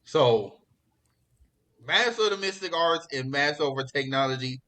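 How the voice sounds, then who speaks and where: A man hosting a podcast in casual pop culture chat, talking in an excitable, slangy, profane way. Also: a little high, moderate pace. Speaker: podcast host.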